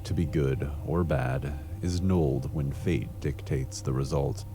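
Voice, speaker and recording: A man playing a world-weary cyberpunk noir detective in a video game, with dry, deadpan, measured narration. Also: a bass voice, music in the background. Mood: brooding, solemn.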